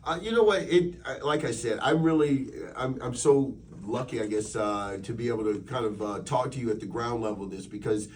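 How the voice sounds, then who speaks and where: A man's voice: mid-range voice, fairly quick, few pauses, recorded from a distance, slight background noise. A man hosting a radio interview in a casual, chummy, rambling conversational way. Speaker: radio show host.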